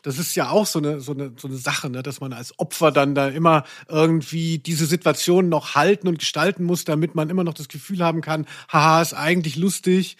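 A man's voice: a little high, steady tone, a little fast, hardly any pauses, fairly bright tone, no room sound, clean studio sound. A man co-hosting a podcast in a casual, chatty and wry way. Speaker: podcast co-host.

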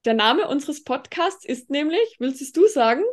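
A high-pitched woman from Tyrol co-hosts a casual podcast. Her Austrian accent is Tyrolean, with a rolling R and a hard, throaty K. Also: expressive, moderate pace. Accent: Tyrolean Austrian German. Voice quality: strongly rolled R, harsh throaty K. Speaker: podcast co-host.

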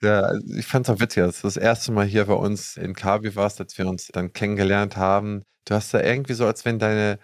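A man's voice: lowish voice, steady tone, fairly quick, hardly any pauses, dry recording, clean studio sound. A man hosting a podcast, introducing his guest and asking friendly, informal questions. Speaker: podcast host.

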